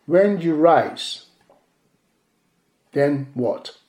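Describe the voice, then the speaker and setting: A male pastor whose first language is Yoruba preaches a sermon in a measured way, repeating key phrases for emphasis. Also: on the high side, animated, a little mellow. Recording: echoey room.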